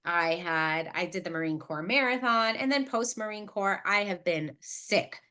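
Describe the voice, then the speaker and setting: A woman in her late thirties with a bright, forward voice and a middling pitch. She talks like a podcast host in casual conversation, reflective and wordy, sorting out her thoughts as she goes. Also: lively intonation, normal speed. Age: late 30s. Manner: self-reflective, thinking out loud, wordy.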